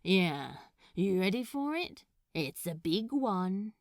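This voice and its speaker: A woman's voice: medium pitch, very animated, slow, no echo, studio quality. A woman voicing a teasing, grumbling male demon with a casual, sly drawl, in a fan fiction reading.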